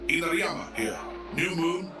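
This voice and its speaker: A man's voice: a little high, lively intonation, moderate pace, natural voice. A man playing a helpful elderly Japanese market vendor in an audio drama, in short broken phrases. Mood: helpful, unhurried. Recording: roomy, distant, under loud music.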